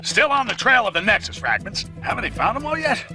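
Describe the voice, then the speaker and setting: A man's voice: very high voice, big pitch swings, brisk, bright, forceful tone, faint music behind, exaggerated voice. An actor playing a brash, sarcastic male announcer narrating a video game level. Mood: ranting.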